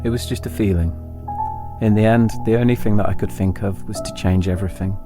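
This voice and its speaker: Low-voiced English man narrating over music. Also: fairly even intonation. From England. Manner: reflective, deliberate phrasing in short lines. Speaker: podcast host and narrator. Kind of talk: autobiographical storytelling, scripted narration. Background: instrumental music.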